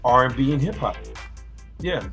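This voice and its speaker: A man in his own loose, animated way, chatting about music as a co-host. His pitch sits in the middle for a man, and faint music plays softly behind him. He often breaks off and restarts his thoughts. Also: moderate pace. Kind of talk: casual chat.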